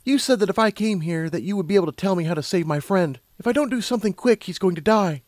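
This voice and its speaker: Man putting on an urgent young unicorn mare, pitched up. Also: animated, quick pace, darkish tone, dry recording. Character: anxious, determined young female unicorn space pilot.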